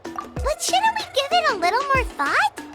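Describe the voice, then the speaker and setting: A woman voicing a tiny, excitable childlike fairy companion in a video game, squeaky and eager. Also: a little slow, exaggerated voice. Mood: concerned. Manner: eager, questioning. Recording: loud music under the voice.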